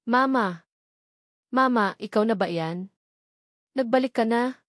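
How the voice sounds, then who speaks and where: A woman's voice: a little high, slow, no room sound, clean studio sound. A woman voicing a tearful little boy in a storybook, pleading and childlike. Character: clingy, tearful little boy longing for mother.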